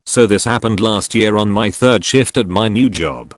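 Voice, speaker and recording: Low-voiced man narrating online stories. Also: normal speed. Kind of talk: reading online stories aloud.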